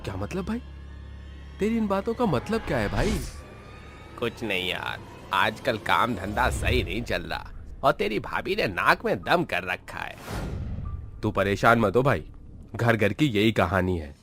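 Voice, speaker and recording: A man's voice: on the low side, sing-song, a little slow, pauses often, over music. A man voicing boozy, troubled drinkers in a cartoon story, with exaggerated, emotional delivery. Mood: chatty. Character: boozy, troubled men drinking to forget sorrows. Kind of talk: animated moral story dialogue.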